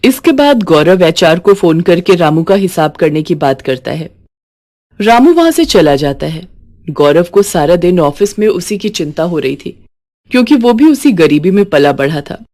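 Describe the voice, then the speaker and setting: A woman's voice: lowish voice, big pitch swings, normal speed, mellow. A woman reading a scripted moral story as a storyteller.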